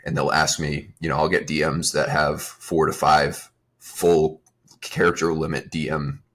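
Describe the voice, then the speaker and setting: A young adult man hosting his own fitness podcast, talking in a relaxed, rambling way with a slightly raspy, strained voice. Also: extremely low pitch. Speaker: podcast host and fitness coach. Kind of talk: casual solo podcast talk.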